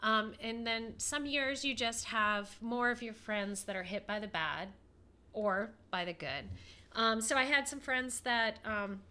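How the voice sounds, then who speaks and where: A woman co-hosting a podcast in casual chat, stuffed up with a head cold so she sounds a little nasal, talking with plenty of fillers and pauses. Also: a little high, pushed and bright, clean tone. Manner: chatty, with many fillers and pauses. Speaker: podcast co-host.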